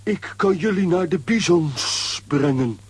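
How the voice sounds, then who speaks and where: A gentle, worn-out talking steam engine from a children's radio play. It speaks slowly and haltingly, with a husky rasp in a dry recording. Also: male voice, a little high, animated, no echo, exaggerated voice. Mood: grateful, weary. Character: grateful, ailing talking steam locomotive, gentle.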